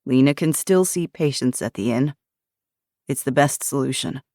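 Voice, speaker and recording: Woman voicing a protective man, grave, measured, deep tone, dry booth sound. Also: a little mellow, no echo. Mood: firm. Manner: grave, measured, urgent warnings. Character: tense protective man, grim and guarded.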